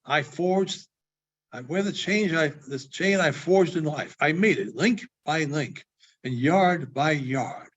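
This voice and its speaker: A man voicing the mournful, doomed ghost of a dead businessman in a slow, solemn, declaiming storybook reading. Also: a little high, lively intonation, fairly bright tone, slightly rough, heavily acted. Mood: mournful, stern. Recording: dull, muffled sound.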